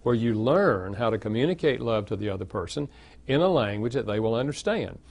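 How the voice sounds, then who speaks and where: An older man with a Southern American accent, an author answering interview questions in a steady, explanatory way. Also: medium pitch, fairly quick, gravelly. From Southern United States. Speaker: author and guest. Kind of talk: interview conversation.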